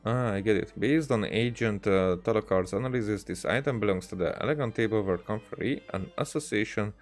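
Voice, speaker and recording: Halting man streaming casually over music. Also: on the low side. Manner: halting, rambling, repeats words as he tells anecdotes. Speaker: game streamer. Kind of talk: casual livestream chat while gaming.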